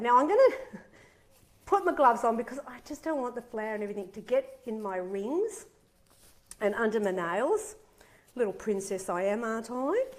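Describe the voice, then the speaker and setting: A bubbly, middle-aged woman hosts a live cooking show from her home kitchen. Her voice sits a little high and sounds slightly nasal from a head cold. She chats with enthusiasm as she walks viewers through each step. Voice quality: a bit nasal. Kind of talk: live cooking demonstration, chatty.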